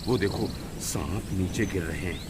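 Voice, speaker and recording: Cartoon snake catcher male actor: rough villager, exclaiming, lowish voice, loud noise behind. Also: moderate pace, close to an everyday voice. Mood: alarmed. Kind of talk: cartoon moral story dialogue. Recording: very noisy background.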